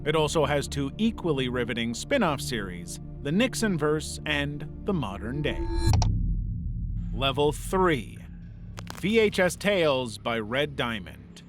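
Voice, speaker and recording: A man's voice: a little high, big pitch swings, a little slow. A man reading a scripted narration in the role of a video essay narrator. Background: background music.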